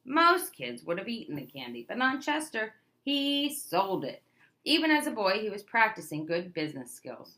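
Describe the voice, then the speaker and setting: A woman's voice: mid-range voice, sing-song, normal speed, pushed and bright. A woman reading a children's picture book aloud, expressively, stressing key words as a storyteller does for young listeners. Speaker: read-aloud presenter.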